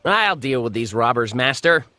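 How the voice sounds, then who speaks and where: Storybook monkey hero, played by a woman: hot-tempered, cocky, animated delivery with a sharp edge. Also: extremely low pitch, normal speed, pitched below the performer's own voice, exaggerated voice. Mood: determined. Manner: brash, indignant. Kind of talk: children's audiobook character voice.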